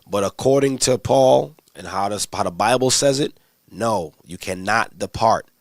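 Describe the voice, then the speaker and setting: A man's voice: fairly low pitch, moderate pace, dry recording, studio quality. A man teaching scripture in a casual livestream, answering viewers' questions in a conversational, slangy way with many restarts.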